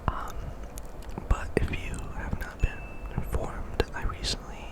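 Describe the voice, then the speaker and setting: Young male ASMR gaming host. Fully whispered, breathy delivery, casual and rambling, with repeated phrases. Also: measured pace.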